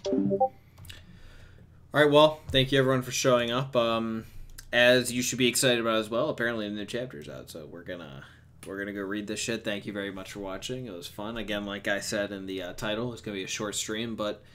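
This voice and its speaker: Low, bright-voiced man hosting a casual livestream. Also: a little fast. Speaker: streamer hosting a voice-chat livestream. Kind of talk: casual, profane live chat. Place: livestream from a computer setup. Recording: bright home mic with noise, rumble and typing. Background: noise, rumble and keyboard typing.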